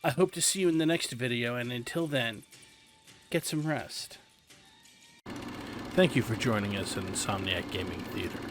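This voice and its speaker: A male host giving a casual walkthrough commentary on a video game, explaining each step in a relaxed, drawn-out way. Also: medium pitch, soft background music.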